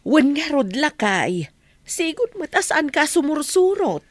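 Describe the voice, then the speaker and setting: A woman's voice: high voice, sing-song, a little slow, no echo. A woman with an Ilocano accent playing a village mother and wife in a radio drama. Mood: concerned.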